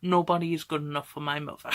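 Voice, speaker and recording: A woman's voice: deep voice, flat delivery, quick pace, fairly bright tone. Chatty young woman vlogging. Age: 20s. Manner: chatty, rambling, frequent "okay?" asides and laughs. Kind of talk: casual talk to camera.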